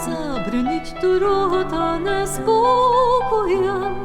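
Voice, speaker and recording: Woman, very high, slow ballad, echoey, loud music. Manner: slow, sustained sung lines. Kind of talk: singing a Ukrainian folk-style ballad.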